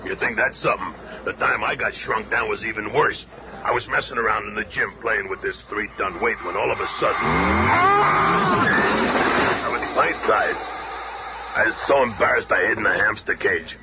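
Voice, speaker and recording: An actor playing a gruff, grumbling blue-collar tough-guy monster superhero in a 1970s radio play. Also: on the low side, normal speed, close to an everyday voice. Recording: very noisy old radio recording.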